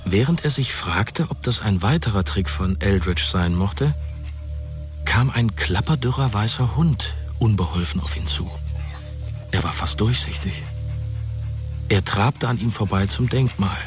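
Male narrator, fairly deep, scripted dramatic storytelling for radio drama, music underneath. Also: lively intonation, measured pace. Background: prominent music.

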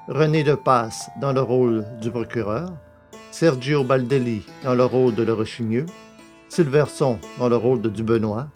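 A man's voice: medium pitch, darkish tone. A man reading scripted credits as the announcer of an audio drama. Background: plucked guitar music.

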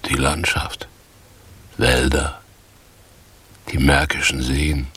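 An actor playing a brooding, theatrical homeless former stage actor in a radio play, speaking solemnly and deliberately. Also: male voice, very deep voice, level tone, sharp tone, slightly rough, full character voice. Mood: melancholy. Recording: 1980s radio drama with faint noise.

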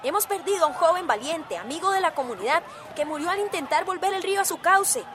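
Very high-voiced defiant female protester. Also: animated, fast. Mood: indignant, earnest. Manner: declamatory, emphatic. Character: defiant, passionate village woman leading a water protest. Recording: noisy street crowd behind.

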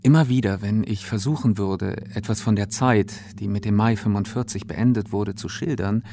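A man's voice: on the low side, moderate pace, vocal fry, dark tone. A man giving a literary reading of long, formal prose sentences. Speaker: reader of a literary text. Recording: prominent music behind the reading. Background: music.

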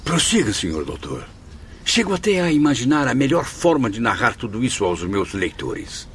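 An actor in a radio soap playing an eager, polite novelist who flatters effusively. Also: male voice, medium pitch, normal speed, slight background noise. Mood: eager, admiring. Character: eager, flattering Portuguese novelist, vain but earnest. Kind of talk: radio soap opera acting and narration.

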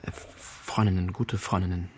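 A man's voice: sits low, little pitch movement, measured pace, a little hiss or hum. An actor playing a nervous young male music student who answers hesitantly and stammers in a radio crime drama. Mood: uneasy. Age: young adult.

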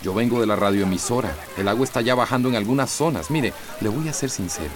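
An actor playing a stern, scolding village doctor with a Central American accent in a radio drama. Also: male voice, mid-range voice, fast, crowd noise, lightly acted. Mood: stern, urgent. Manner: stern, authoritative.

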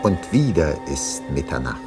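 An actor playing a solemn narrator, giving atmospheric scripted narration in a radio crime play. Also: male voice, extremely low pitch, very animated, a little slow, music in the background, exaggerated voice. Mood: solemn, ominous. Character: solemn crime-story narrator, timidly pedantic when interrupting.

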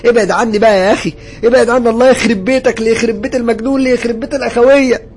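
A man with an Egyptian accent voicing a sleepless, desperate, hot-tempered doctor in a horror story, agitated and shouting. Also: a little high, very fast, a little hiss or hum, voice pushed up, natural voice. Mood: desperate, furious.

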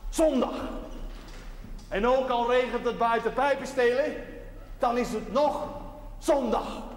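An actor playing an earnest, playful, plain-spoken village chaplain, preaching emphatically with pauses in a television drama. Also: male voice, very high-pitched. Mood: fervent, solemn. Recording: noisy, echoey church-like hall.

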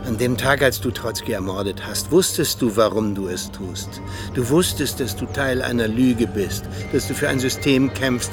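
An actor playing a cynical, disillusioned Soviet agent and former mentor in a radio drama, confessing in weighty, deliberate phrases. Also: male voice, mid-range voice, natural voice. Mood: weary, resigned. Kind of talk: German radio drama acting.

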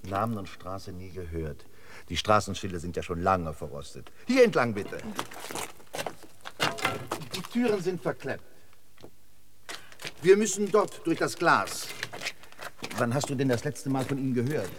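An actor playing a chatty, eccentric local guide in a decayed city, rambling and effusively hospitable in a radio drama. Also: male voice, mid-range voice, sing-song, measured pace, pauses often, a little hiss or hum. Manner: effusive, rambling, quirky hospitality, chuckles to himself. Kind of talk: German radio drama acting.

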